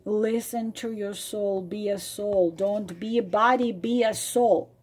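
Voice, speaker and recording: Slow, husky woman reading tarot. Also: mid-range voice, fairly even intonation. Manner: emphatic, repeats phrases for emphasis; drops to a soft breathy near-whisper at times. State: losing her voice. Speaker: tarot reader and psychic. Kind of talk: spontaneous spoken tarot reading to viewers.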